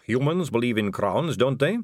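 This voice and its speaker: A man voicing an aristocratic lady vampire in an audiobook, smooth, measured, knowing and condescending. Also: medium pitch, lively intonation, dry recording, clean studio sound, voice pushed up, close to an everyday voice. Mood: knowing, weary. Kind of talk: audiobook character dialogue.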